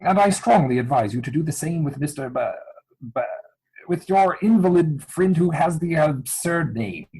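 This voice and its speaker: A man playing a proper, earnest young English gentleman, evasive and easily exasperated, speaking formally in a dramatic reading of a Victorian stage comedy. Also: a little high, animated, normal speed, breathy edge, poor audio. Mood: indignant. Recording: home recording over video call.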